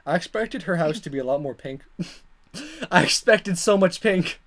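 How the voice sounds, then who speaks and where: A woman's voice: fairly low pitch, big pitch swings, normal speed. A woman hosting a let's play, reading dialogue aloud dramatically and commenting in a playful, exaggerated way. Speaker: gaming video host.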